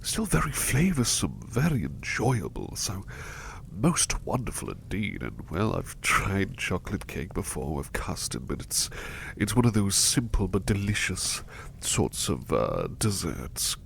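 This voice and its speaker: A man voicing a sickly young male butler, speaking in a soft, whispery, courteous way close to the mic while resting in bed unwell. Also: low voice, wildly swooping pitch, a little slow, gravelly, a little hiss or hum, full character voice, poor audio. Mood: gentle, grateful. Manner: courteous and formal, hesitant restarts. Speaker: voice actor. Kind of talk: ASMR roleplay.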